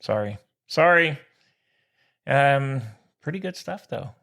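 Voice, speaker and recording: A man's voice: medium pitch, animated, slow pace, vocal fry, a bit bright. A man co-hosting a sports podcast, chatting casually in a folksy, jokey way with slangy exclamations. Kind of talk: casual sports chat, joking banter.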